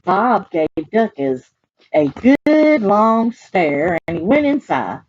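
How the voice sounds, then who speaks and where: A woman with a Southern US accent reads a picture book to children with a very lively, swinging pitch. She takes her time and chats in folksy asides. The recording is a little muffled. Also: slow, slight background noise. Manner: chatty, folksy, asking the listeners questions. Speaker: library storyteller.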